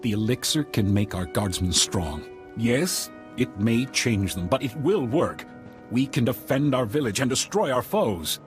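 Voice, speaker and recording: An actor playing a scheming, scornful guard captain in a video game, insistent and persuasive. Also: male voice, mid-range voice, expressive, unhurried, soft background music, lightly acted. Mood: zealous persuasion.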